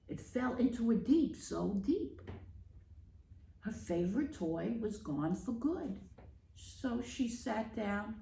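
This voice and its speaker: A woman children's librarian reading aloud at storytime in a teacherly way, posing questions and pausing for answers. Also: medium pitch, vocal fry, warm tone, reverberant, a little hiss or hum, low-quality recording.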